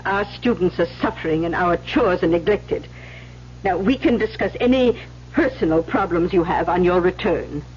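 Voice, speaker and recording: An actress playing a stern, weary older Mother Superior, measured and authoritative, in an old-time radio drama. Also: lowish voice, a little mellow, close to an everyday voice. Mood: firm, commanding. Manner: measured, authoritative, cuts others short. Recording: muffled, hissy old radio recording.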